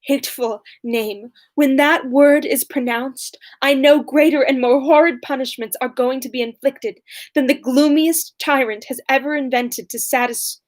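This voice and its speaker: A woman reading aloud as an anguished, indignant young gentlewoman, in an impassioned, declamatory way. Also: sits high, steady tone, a little slow.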